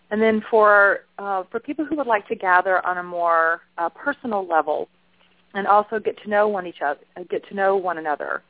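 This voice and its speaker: A woman's voice: fairly low pitch, no echo. A woman co-hosting a call-in show, chatting enthusiastically about an online group. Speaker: co-host making announcements. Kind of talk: casual chat. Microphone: telephone. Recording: tinny phone line.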